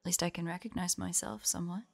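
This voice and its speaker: A woman playing a weary, protective demon hunter in an audio drama, gentle yet resolute, with a measured, solemn delivery. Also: lowish voice, steady tone, vocal fry, no echo. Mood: wistful.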